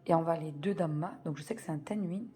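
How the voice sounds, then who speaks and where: A woman's voice: deep voice, fast, mellow. A woman teaching a beginners' reading lesson, patiently spelling out sounds step by step and repeating key words. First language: French.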